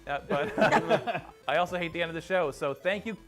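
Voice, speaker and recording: A man's voice: high-pitched, animated, normal speed. Man hosting casually over music. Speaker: show host. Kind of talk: casual talk show chat.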